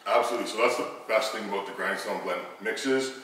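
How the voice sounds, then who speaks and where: A man's voice: fairly low pitch, fast talker, background noise. A man in his thirties, an upbeat and easygoing bodybuilder vlogger, showing a cooking demo in a large home kitchen. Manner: upbeat, easygoing, laughs often. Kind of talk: casual vlog and cooking demo. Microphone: camera mic from a distance. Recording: echoey room, voice from far off.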